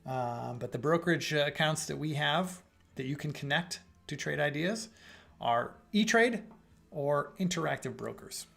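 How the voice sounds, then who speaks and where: Gravelly man hosting a live Q&A. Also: fairly high pitch, expressive, moderate pace, fairly bright tone. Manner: conversational, thinking aloud with fillers and restarts. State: losing his voice, clearing throat. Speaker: host of live trading room. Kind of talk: casual live-stream Q&A.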